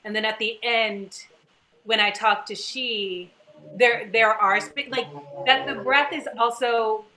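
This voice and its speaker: Bright, higher-pitched woman, animated and searching. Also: takes it slowly, poor audio. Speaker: stage actor in a cast discussion. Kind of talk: casual panel conversation.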